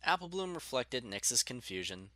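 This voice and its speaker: A man's voice: medium pitch, big pitch swings, moderate pace, sharp tone, no room sound. A man reading scripted story narration as the narrator of an audiobook.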